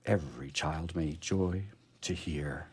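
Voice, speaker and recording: A man hosting a poetry program, speaking in a halting, expressive way as he talks casually and reads verse. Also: a bass voice, slightly rough. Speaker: radio show host reading poetry. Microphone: studio microphone he drifts away from.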